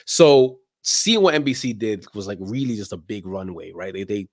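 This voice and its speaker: A man's voice: lowish voice, lively intonation, normal speed, darkish tone, dry recording, studio quality. A middle-aged American man chatting casually as a podcast guest, using slang and repeating phrases for emphasis. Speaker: interview guest, soccer media personality.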